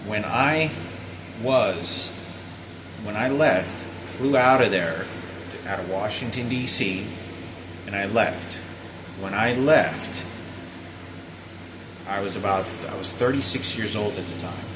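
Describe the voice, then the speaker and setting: A man's voice: fairly low pitch, takes it slowly, pauses often, background noise. A man in his thirties telling a long personal story, repeating himself and often restarting and correcting his sentences. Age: 30s. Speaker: vlogger. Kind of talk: rambling personal account to camera.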